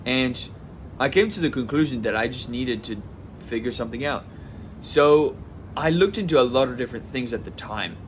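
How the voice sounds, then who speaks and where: A man's voice: mid-range voice, lively intonation, normal speed, slight background noise. A man in his twenties from southwest France, talking casually to camera in a rambling personal vlog, full of restarts and "like". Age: 20s. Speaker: vlogger.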